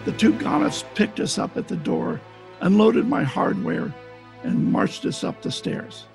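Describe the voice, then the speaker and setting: A wry, hardboiled gumshoe, played by a man in a radio detective play. The voice is rough with heavy fry, pitched high and swinging widely, with blunt, clipped lines recorded at home over a video call. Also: very animated, unhurried, music in the background, exaggerated voice. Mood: wry narrating. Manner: clipped, blunt, wisecracking noir delivery. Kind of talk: old-time radio detective acting and narration.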